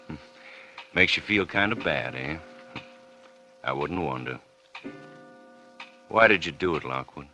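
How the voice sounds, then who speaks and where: A man's voice: very deep voice, expressive, bright, forceful tone, soft background music, heavily acted. An American actor playing a sly, folksy police captain who needles his suspect with insinuating talk in a 1940s radio drama. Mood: accusing. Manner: folksy, insinuating, drawn-out deliberate teasing. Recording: noisy old 1940s radio recording with clicks.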